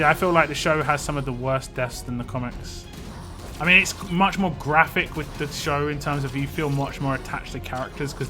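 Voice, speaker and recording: A man talks loosely through a gaming live stream, rambling from topic to topic and answering his chat. His voice sits at an ordinary middle pitch, and game music plays clearly underneath.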